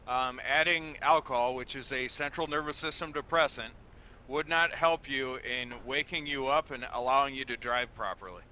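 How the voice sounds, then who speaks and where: A man's voice: mid-range voice, normal speed, bright, forceful tone, lo-fi sound, a little hiss or hum, close to an everyday voice. A man roleplaying a patient, methodical police officer in an online game, giving firm, polite, procedural instructions.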